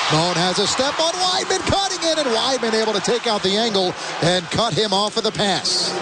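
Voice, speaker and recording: A North American man calling a hockey game live on radio, in energetic, clipped phrases. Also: a little high. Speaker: radio hockey play-by-play announcer. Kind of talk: live sports commentary. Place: broadcast booth in a hockey arena. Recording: loud arena noise behind. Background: loud arena ambience.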